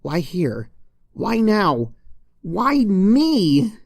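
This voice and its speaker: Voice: medium pitch, big pitch swings, very slow, vocal fry, a little mellow, no echo, clean studio sound, pitched above the performer's own voice, exaggerated voice. A reader voicing a flustered, snippy young female pony who gets indignant and defensive in a storybook reading. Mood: exasperated. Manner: indignant, defensive, faltering when embarrassed. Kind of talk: storybook character voice in fan fiction reading.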